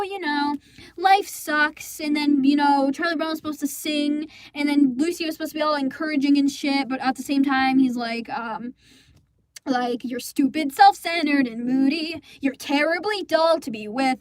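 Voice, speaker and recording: A young adult woman telling a story in a casual, animated rant, swearing freely and exasperated, quoting people as she goes. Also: high-pitched, no room sound. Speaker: storyteller and amateur stage performer. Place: small indoor recording space with acoustic foam.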